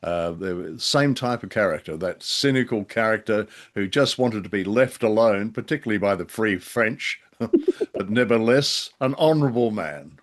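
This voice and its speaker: Low-voiced man reviewing on a podcast. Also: animated, normal speed. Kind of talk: casual discussion.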